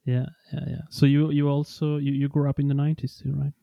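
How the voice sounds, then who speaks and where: A man in his forties hosting a podcast, speaking English as a second language, enthusiastic and halting with frequent restarts. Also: a little high, completely flat delivery, vocal fry, no room sound, highs cut off. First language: not English. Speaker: podcast host. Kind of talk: casual interview chat.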